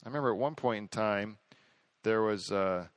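A man hosting a podcast in casual chat, boastful and excitable, rambling with lots of restarts. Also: on the low side, moderate pace, clear, resonant tone. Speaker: podcast host.

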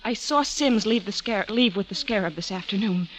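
An actress playing an earnest, defiant young woman under suspicion in an old-time radio drama, speaking deliberately and a little haltingly. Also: medium pitch, animated, natural voice. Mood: anxious recounting. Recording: muffled vintage radio recording, faint noise.

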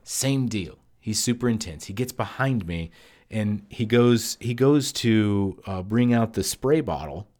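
A man with a somewhat low voice tells a story in a loose, rambling way. He leans on fillers and restarts and keeps a casual, conversational tone throughout. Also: expressive, measured pace, a little mellow. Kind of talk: casual first-person storytelling.

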